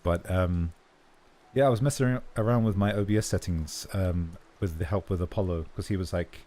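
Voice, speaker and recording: Deep scratchy-voiced man streaming games. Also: normal speed, dark tone. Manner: chatty, rambling, self-correcting. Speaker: livestreamer. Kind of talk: casual gameplay stream chat. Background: faint game music.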